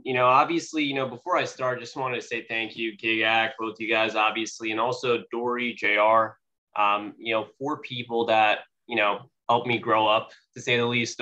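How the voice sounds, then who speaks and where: A young man speaks at a sales meeting in a bright, forceful voice and a loose, casual manner, full of fillers. He sounds a little sniffly from allergies. The recording is slightly dull and lo-fi. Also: mid-range voice, level tone, normal speed. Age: young adult. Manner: casual, many "you know" fillers. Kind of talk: motivational speech. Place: meeting room.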